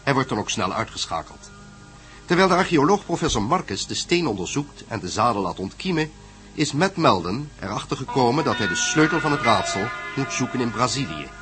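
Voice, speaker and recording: A man's voice: mid-range voice, sing-song, fairly quick. A man narrating a scripted story recap for a Dutch radio play. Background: music.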